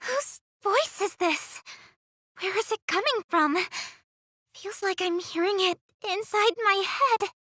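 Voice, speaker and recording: A woman's voice: very high voice, slow pace, pushed and bright, no echo, clean studio sound, exaggerated voice. A woman playing a frightened, tearful young woman in an anime-style video game, pleading dramatically. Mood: bewildered.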